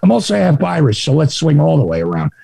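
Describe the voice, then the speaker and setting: A man from New Jersey with a Jersey accent and a raspy voice, talking in a sardonic, rambling way as a guest on a podcast. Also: fairly high pitch, wildly swooping pitch, fast talker, dark tone. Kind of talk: casual podcast conversation.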